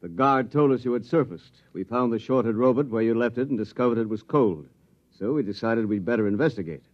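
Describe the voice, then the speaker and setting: An actor in an old radio drama playing a hawkish, commanding army colonel with a brusque, authoritative way of speaking. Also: male voice, medium pitch, lively intonation, brisk, natural voice. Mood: grimly determined. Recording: muffled old radio recording.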